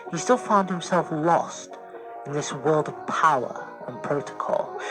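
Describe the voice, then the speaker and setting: A man's voice: fairly high pitch, poor audio. A man reading a scripted story as a dramatic narrator. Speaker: story reader. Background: music.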